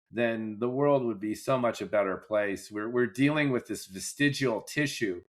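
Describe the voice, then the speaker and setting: A man hosting a podcast, talking in a reflective, wordy way with drawn-out phrasing in a casual political debate. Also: on the low side. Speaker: podcast host.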